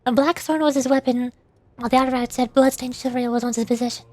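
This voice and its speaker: A woman's voice: sits high, steady tone, quick pace, a little mellow, dry recording. A woman streaming a game, chatting casually and reading lore aloud in a giggly, easily puzzled, self-deprecating manner.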